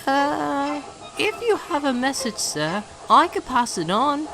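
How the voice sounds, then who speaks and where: A woman's voice: sits high, very animated, slow, background noise, heavily acted. A woman voicing an eager, polite young male tank engine in a children's audio drama, cheerful and earnest.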